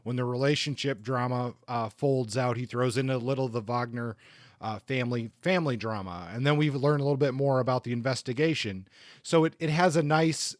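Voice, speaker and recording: A man with a mid-range voice co-hosts a casual book discussion. He speaks thoughtfully and haltingly, often repeating a word as he builds his point. The sound is close and dry, with little room around it. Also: medium pitch, dry recording. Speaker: podcast co-host reviewing crime novels.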